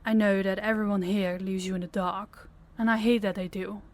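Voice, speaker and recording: A woman playing a guarded but caring young rival princess in an intimate fantasy audio roleplay, reserved and measured. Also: medium pitch, fairly even intonation, close to an everyday voice. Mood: guarded, gentle.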